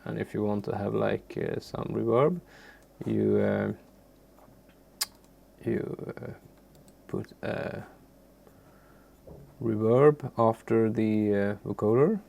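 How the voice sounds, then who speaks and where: A man from Sweden, a native Swedish speaker, giving an informal, unscripted software tutorial in a halting, unassuming way with a gravelly, creaky voice. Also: on the low side, fairly even intonation. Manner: halting, searching for words, unassuming. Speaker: music software tutorial presenter. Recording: faint background noise, keyboard clicks.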